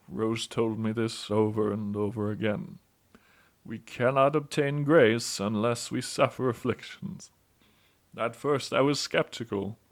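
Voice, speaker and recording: A man acts a thoughtful priest in an audio drama. His voice has an ordinary male pitch, and he speaks with solemn, devout conviction. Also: gravelly.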